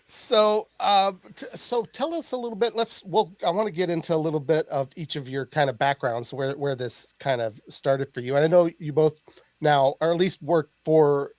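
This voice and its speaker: A male podcast host interviewing guests in a relaxed way. He stops and restarts his questions and slips in jokes, recorded dry and close with no room sound. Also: on the high side, dry recording. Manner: halting, restarts questions, joking. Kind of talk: casual interview chat.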